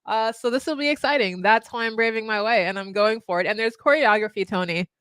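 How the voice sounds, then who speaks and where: A co-host of a live web show, speaking from a home studio, bubbly and enthusiastic. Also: female voice, on the high side, a little fast, fairly bright tone, clear, resonant tone, no room sound.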